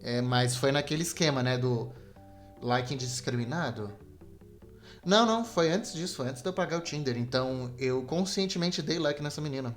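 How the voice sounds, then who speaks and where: A man's voice: mid-range voice, moderate pace. A young adult man with a Brazilian Portuguese accent rambles casually as a solo podcast host, slangy and self-deprecating. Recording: video game music behind the voice. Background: Super Nintendo game music.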